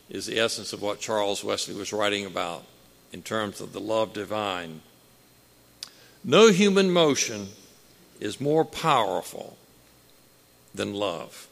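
A man preaching a sermon with a booming voice, slow and emphatic, building in force. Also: fairly bright tone. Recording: long hall reverb.

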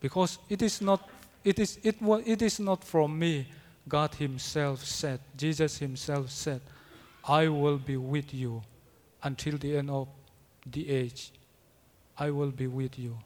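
Slow halting preacher in a big hall. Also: male voice, a little high, steady tone, a little mellow. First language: not English. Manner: halting non-native English, frequent restarts. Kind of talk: sermon. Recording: long hall reverb.

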